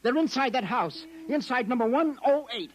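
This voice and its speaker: A man's voice: very high voice, expressive, normal speed. An actor playing a frantic, desperate man pleading to be believed about danger in a 1950s radio drama. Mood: urgent pleading. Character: frantic man warning police of an alien invasion. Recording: noisy vintage 1950s radio recording.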